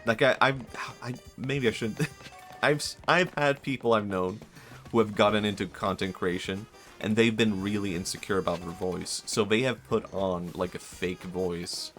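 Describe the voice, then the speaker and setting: Low-voiced young man rambling to chat. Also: fairly even intonation, moderate pace. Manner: rambling, hesitant, many fillers and restarts; self-mocking, laughs softly. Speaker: streamer. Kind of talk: casual live-stream chat. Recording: faint music behind.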